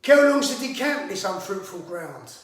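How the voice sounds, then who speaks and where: Man acting a swaggering high-pitched brawler. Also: big pitch swings, moderate pace, fairly bright tone. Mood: boastful, wry. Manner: theatrical, swaggering, sweary. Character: hot-tempered working-class brawler with mock-grand speech. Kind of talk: theatrical monologue. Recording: echoey room, distant microphone.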